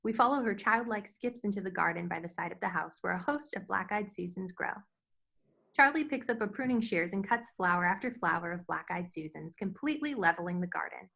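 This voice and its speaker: A woman's voice: on the low side, animated, a little fast, vocal fry, bright, forceful tone. A woman reading stage directions as scripted narration for a screenplay table read.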